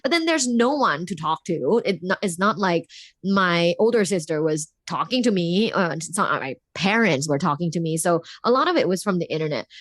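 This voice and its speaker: A woman's voice: lowish voice, animated, normal speed, dry recording, studio quality. A woman from Thailand in her thirties, a podcast guest speaking candidly with frequent laughs and fillers. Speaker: guest, professor. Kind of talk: casual interview talk.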